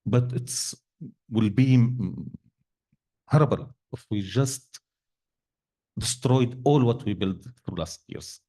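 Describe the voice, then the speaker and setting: A man whose first language is Arabic, answering on a panel in halting English with many restarts. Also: medium pitch, warm tone. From Syria. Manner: halting, many restarts and fillers, non-native grammar. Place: event hall.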